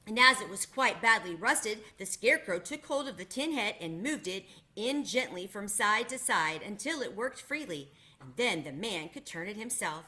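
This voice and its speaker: A woman reading a children's book aloud, narrating with expressive storytelling. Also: medium pitch, normal speed, few pauses. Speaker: reader.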